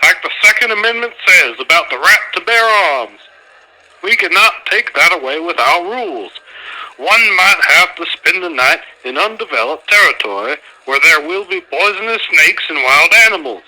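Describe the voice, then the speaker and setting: A man's voice: high-pitched, expressive, normal speed, pushed and bright, full character voice, low-quality recording. A man voicing a boastful, flamboyant race promoter in an anime fan dub, with a grandiose, emphatic showman's delivery. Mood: firm, assured.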